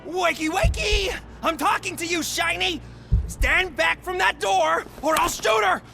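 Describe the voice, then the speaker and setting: An actor playing a desperate male gunman holding a hostage in a video game cutscene, yelling in a high, strained voice. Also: unhurried, vocal fry, faint music behind, heavily acted. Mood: frantic threatening.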